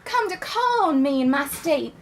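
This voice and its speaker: Very high-pitched, swooping belle. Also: female voice, sing-song, shouting, very noisy. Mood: swooning confession. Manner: genteel, drawn-out, theatrical. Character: melodramatic, prim yet bawdy Southern belle wife. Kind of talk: comedic live reading of love letters.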